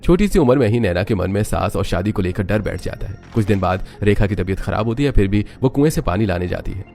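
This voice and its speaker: A man reads a dramatic story in a low voice. His delivery is quick and scripted, carried over a music bed. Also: animated, gravelly, a little mellow. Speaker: narrator. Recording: background music under voice.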